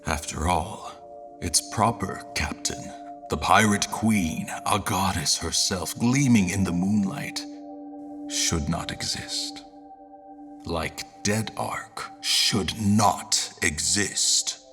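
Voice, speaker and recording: A man giving scripted, dramatic story narration in a measured, solemn way, with music under his voice. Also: deep voice.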